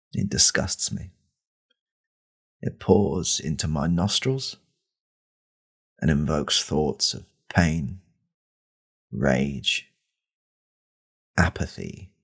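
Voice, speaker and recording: A man playing an angry, pompous right-wing podcast host in a deadpan parody monologue, with a deliberate, conspiratorial manner. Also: full character voice. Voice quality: very deep, gravelly, husky.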